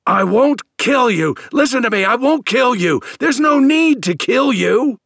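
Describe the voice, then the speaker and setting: A man's voice: very high-pitched, lively intonation, unhurried, gravelly, fairly bright tone, no room sound, clean studio sound, pitched above the performer's own voice, exaggerated voice. A man voicing an evasive, controlling captor in an audiobook, placating and patronizing. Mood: shouting. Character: evasive, controlling male captor, coolly placating, secretly menacing.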